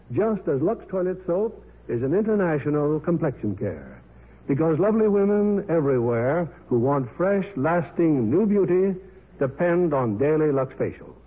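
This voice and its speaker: Formal 1950s radio host, animated man. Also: fairly high pitch, slightly rough. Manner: polished, formal, showman-like. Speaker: host and narrator. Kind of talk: 1950s radio announcing, scripted narration. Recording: narrow-band 1950s radio recording.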